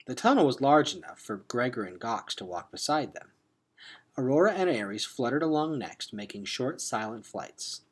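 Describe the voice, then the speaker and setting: A man reading a children's adventure novel aloud like a teacher, expressive and dropping into hushed tones for suspense. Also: mid-range voice, normal speed, breathy edge. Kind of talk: dramatic read-aloud narration of a children's novel.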